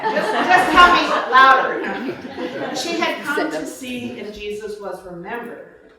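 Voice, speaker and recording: A woman author addresses a live gathering in an earnest voice at a medium pitch. She is picked up from a distance in a very echoey room, with faint noise. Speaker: author introducing and reading own book. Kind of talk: informal live talk, then slow literary reading. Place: room at a live book reception. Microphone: distant microphone.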